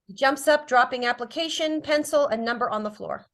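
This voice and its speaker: A woman's voice: high voice, a little fast, a bit bright. A woman reading stage directions as scripted narration at a drama table read.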